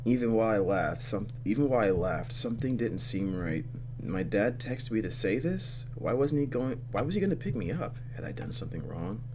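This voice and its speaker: A man hosting a gaming video, reading game text aloud and commenting with expressive, dramatic delivery. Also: lowish voice, a little fast, noisy background. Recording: game music behind. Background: game music.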